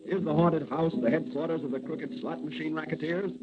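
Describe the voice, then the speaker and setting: An actor playing a suspense-building announcer in an old-time radio serial, giving dramatic, declamatory scripted narration. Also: male voice, a little high, fairly even intonation, a little fast, very noisy, lightly acted. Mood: suspenseful. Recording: tinny vintage broadcast with music behind. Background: music.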